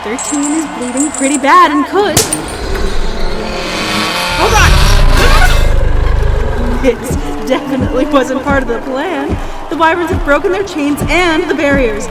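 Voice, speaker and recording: A woman's voice: sits high, unhurried, pauses often. A woman playing a showy, bloodthirsty arena announcer in an audio drama, calling a deadly fight with theatrical glee. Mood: excited, relishing. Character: gleeful, bloodthirsty female arena announcer, showy host of death games. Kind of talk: excited fight commentary. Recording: loud music and crowd behind.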